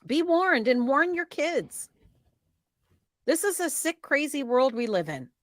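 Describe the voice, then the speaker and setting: A woman's voice: sits high, very animated, unhurried, no echo. A woman hosting an informal true-crime show, talking in an earnest, emphatic and cautionary way.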